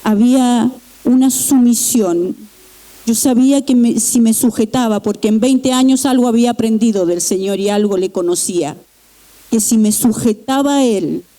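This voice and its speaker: A middle-aged woman shares her personal testimony before a church congregation. Her voice sits a little high yet sounds deeply warm and mellow. She speaks earnestly, pausing and restarting as she works through her story. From Chile. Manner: earnest, halting, with pauses and restarts. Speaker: church member giving testimony. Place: church sanctuary.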